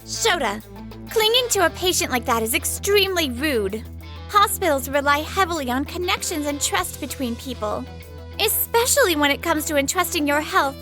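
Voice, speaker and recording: A woman plays a sweet, poised young doctor in a manga dub. Her voice is high, composed and polite, with firm principles under it. Music is clearly heard behind her. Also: animated, moderate pace, pushed and bright. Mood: reproachful. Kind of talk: animated romantic-comedy dub acting.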